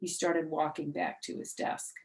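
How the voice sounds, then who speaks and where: A woman's voice: sits low, level tone, a little slow, gravelly. A woman storyteller reading a children's book aloud, addressing young listeners directly in simple words.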